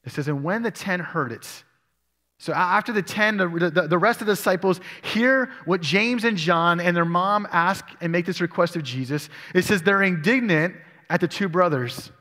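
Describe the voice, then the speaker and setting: A man's voice: high-pitched, normal speed, sharp tone. Man preaching in a reverberant church. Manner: expository preaching, asks the congregation questions. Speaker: pastor. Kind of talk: sermon. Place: church sanctuary. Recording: long church hall reverb.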